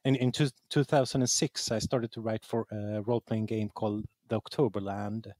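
A man from southern Sweden, a native Swedish speaker with a southern Swedish accent, talking casually and haltingly as an interview guest. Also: lowish voice, fairly even intonation, darkish tone, dry recording, studio quality. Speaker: interview guest, game writer.

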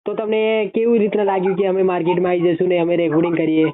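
A man's voice: sits high, flat delivery, rapid-fire. A man conducting a casual interview over the phone. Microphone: phone call. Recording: tinny narrowband phone line.

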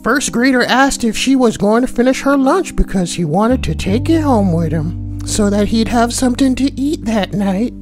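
A man's voice: very high-pitched, animated, normal speed, few pauses, music in the background. A man voicing a brash, foul-mouthed schoolboy, reading posts for comedy and adding slangy, mocking asides. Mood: incredulous, mocking. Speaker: host reading forum posts. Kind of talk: comedic reading with crude commentary.

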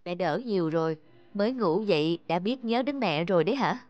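A woman playing a strict but caring young mother in an animated fable, scolding yet affectionate. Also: lowish voice, brisk, highs cut off, lightly acted. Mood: gentle. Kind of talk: animated moral fable voice acting. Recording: music behind the voice.

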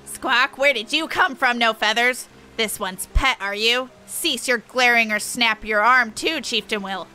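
A woman's voice: fairly high pitch, measured pace, hardly any pauses, pushed and bright, faint music behind, pitched below the performer's own voice. A woman voicing a cruel, bullying bird-man chieftain from a fantasy game, taunting in inverted word order with squawks. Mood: threatening, scornful.

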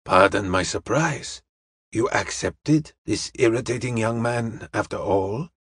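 A man's voice: lowish voice, a little slow, a bit bright, husky, dry recording, studio quality. A man playing a courteous, wise Chinese detective in an audiobook, speaking formal, stilted English with dropped articles. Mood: courteous, measured.

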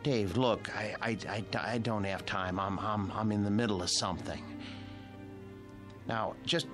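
A man's voice: on the low side, steady tone, unhurried, close to an everyday voice. An actor playing a nervous, secretive middle-aged man who stammers and dodges questions, in a 1960s TV drama. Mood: evasive. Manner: stammering, evasive, turns defensive. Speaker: wax museum caretaker. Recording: vintage TV soundtrack with music underneath.

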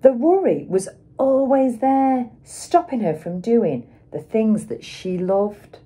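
A middle-aged woman reads a children's picture book aloud like a teacher, with dramatic emphasis and pauses for young listeners. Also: a little high, expressive, mellow, slightly rough. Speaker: schoolteacher reading aloud.

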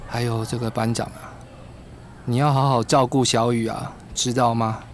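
A man's voice: mid-range voice, level tone, normal speed, slight background noise, lightly acted. A man acting a soothing, protective male schoolteacher in a radio drama. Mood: earnest, caring. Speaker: voice actor in a fan radio drama. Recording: music behind the voice.

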